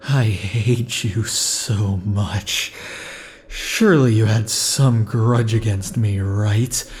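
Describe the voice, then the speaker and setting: A man voicing an obsessive, possessive male immortal in an ASMR roleplay, talking close to the mic in a slow, intimate, brooding way. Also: mid-range voice, a little mellow, lightly acted. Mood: bitter. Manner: slow, intimate, brooding delivery with sudden furious outbursts.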